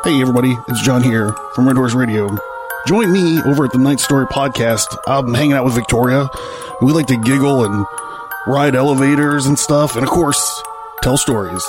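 A man's voice: mid-range voice, normal speed, over music. A man who podcasts, giving a casual, friendly and jokey promo.

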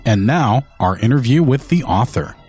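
A man's voice: mid-range voice, expressive, normal speed, darkish tone, slightly rough. A man reading a scripted podcast announcement as the show's announcer. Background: music.

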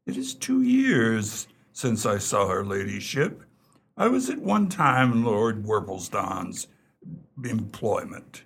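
Courteous English manservant, radio play, deferential, measured, creaky voice, expressive intonation. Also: male voice, lowish voice, slight background noise, exaggerated voice. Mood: formal, composed. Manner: deferential, measured, formal, understated. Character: unflappable, courteous, formal English valet. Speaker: valet character in dialogue.

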